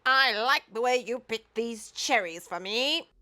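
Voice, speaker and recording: Woman doing a loud, blustering old storybook Duke. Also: on the high side, lively intonation, measured pace, heavy background noise, pitched above the performer's own voice. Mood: pleased. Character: blustering, bossy but kindly old male Duke. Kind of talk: children's storybook character voice.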